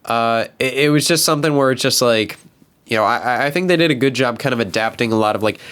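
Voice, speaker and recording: A man's voice: mid-range voice, fast talker, fairly bright tone, clean tone. A man in a casual panel chat, speaking in a rambling, conversational way. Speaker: talk show panelist.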